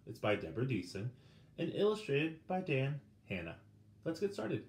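A middle-aged man reading a children's picture book aloud with dramatic pauses, turning to the listeners with questions. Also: medium pitch, big pitch swings. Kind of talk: expressive children's storybook read-aloud. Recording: roomy indoor sound with faint background noise.

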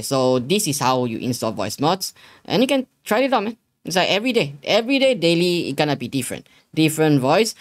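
Halting young man giving a casual tutorial. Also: mid-range voice. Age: young adult. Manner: repetitive, halting explanations with non-native English phrasing. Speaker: tutorial host. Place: living room gaming setup at home.

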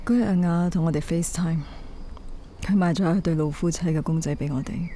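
An actress playing a guilt-torn married woman in a radio drama, speaking in a hushed, hesitant, sorrowful way. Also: lowish voice, fairly even intonation, brisk, slight background noise, lightly acted. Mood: sad, guilty.

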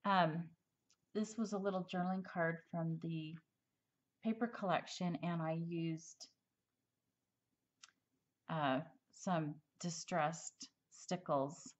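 A woman giving a casual craft tutorial at a table, chatty and rambling with frequent ums, slightly hoarse as she loses her voice. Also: fairly low pitch. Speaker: crafter showing a handmade project. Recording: dull, lo-fi home recording.

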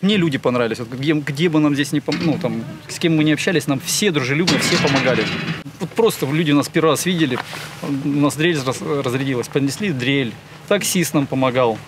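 Traveler, man, fairly high voice, plain and friendly, outdoor noise clear behind. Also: brisk. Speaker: traveler interviewed. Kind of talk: casual interview answers.

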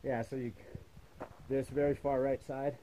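A man hiking on a mountain trail, calmly explaining a climbing route to other hikers. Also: mid-range voice, a little slow, rough recording. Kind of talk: casual trail chat. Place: outdoors on a granite mountain trail. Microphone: 360 camera on a pole. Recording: outdoor recording with wind noise.

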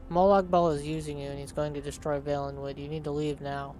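A man's voice: a little high, flat delivery, a little fast, a little mellow. A man narrating a game playthrough and reading out player dialogue in a plain, casual way. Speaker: let's play narrator. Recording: faint game ambience and noise. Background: game ambience.

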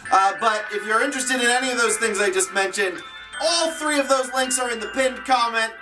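A man gives a comic plug for his channel in a very high-pitched, theatrical voice. He sits a little away from the mic, with music playing behind. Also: brisk, recorded from a distance, rough recording. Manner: theatrical, jokey. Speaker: streamer and voice actor promoting own channel. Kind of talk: comic promotional chat to camera. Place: small room at home.

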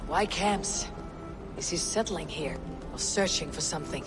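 An actress playing a stern young female Viking warrior in a video game cutscene, curt, guarded and measured. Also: low voice, over music.

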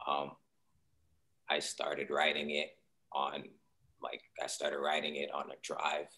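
Deep-voiced young man on a video call. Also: monotone, slow. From Seattle, Washington. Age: young adult. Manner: soft-spoken and reflective, many fillers. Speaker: guest artist. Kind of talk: casual interview on a video call. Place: home room, indoors.

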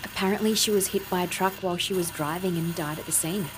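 A withdrawn young girlfriend played by a woman in an audio drama, with a slightly low voice. She is guarded and matter-of-fact, giving little away. Background ambience is clearly audible around her. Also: quick pace, noisy background, close to an everyday voice. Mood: numb, subdued. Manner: flat, guarded, reticent. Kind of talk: naturalistic audio drama dialogue.

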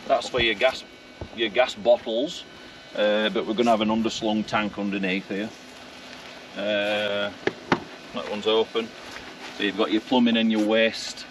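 A man's voice: on the low side, flat delivery, unhurried, pauses often. A man with a Barnsley accent, showing his motorhome in a plain, matter-of-fact way. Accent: Barnsley, Yorkshire. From Yorkshire, England. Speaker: motorhome owner. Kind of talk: casual walkaround tour. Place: outdoors at a campsite. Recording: distant voice, wind and outdoor noise.

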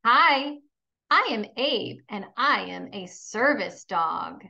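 A woman's voice: on the high side, expressive, slow pace, vocal fry, bright, forceful tone, muffled recording. A woman teaching kindergarten in a read-aloud lesson, encouraging and instructional as she addresses young pupils.

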